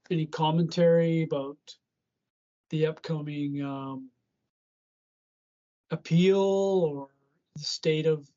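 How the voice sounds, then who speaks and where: A man's voice: a little high, warm tone. A man from North America interviewing a guest, asking questions politely and thanking him for his time. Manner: polite, easygoing, winds down with thanks. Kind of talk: phone interview. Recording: dull lo-fi line.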